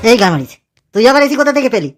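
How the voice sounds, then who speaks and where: A brash young village man, voiced by a woman, fires off his lines at a quick pace. He curses freely and bosses his friends around. Faint music sits under the voice. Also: a little high, sing-song. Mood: rowdy. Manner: brash, swearing, bossy with friends. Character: rowdy foul-mouthed young village man. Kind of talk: cartoon comedy voice acting.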